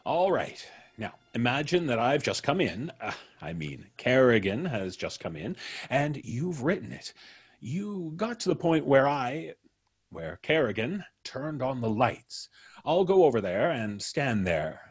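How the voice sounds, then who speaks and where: Old-time radio mystery visitor: husky, animated intonation, polite and probing, deliberate, a needling threat underneath. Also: male voice, mid-range voice. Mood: coaxing, commanding. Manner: deliberate, musing with frequent hums, needling. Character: polite, probing, quietly menacing male visitor.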